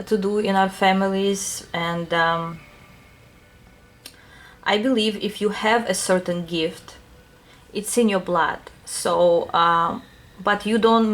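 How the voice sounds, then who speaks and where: A woman with a Russian accent speaks softly and unhurriedly, pausing on little fillers as she chats with her viewers. Her voice sits fairly low for a woman. A faint hiss stays under her talk. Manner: soft, unhurried, thoughtful, with many fillers. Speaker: tarot reader answering viewer questions. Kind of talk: casual talk to camera.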